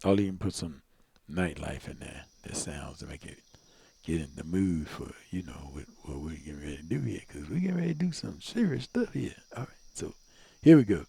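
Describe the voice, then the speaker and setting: A man's voice: low-pitched, big pitch swings, a little fast, gravelly, mellow, husky. A man giving a casual step-by-step tutorial as a video creator, rambling and often repeating himself.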